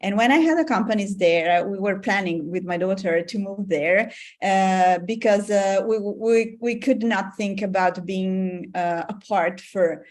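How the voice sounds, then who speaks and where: A woman's voice: mid-range voice, a little slow, darkish tone. A woman in her forties with an Italian accent, a guest in a casual interview who speaks hesitantly with many fillers and frequent laughs. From Italy. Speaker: guest, business coach.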